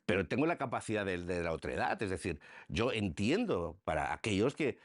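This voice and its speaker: Middle-aged man, emphatic politician who restarts often; low voice, lively swings. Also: a little fast, no room sound. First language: Spanish. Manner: repetitive restarts, emphatic rhetorical questions. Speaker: interviewed politician. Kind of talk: political radio interview.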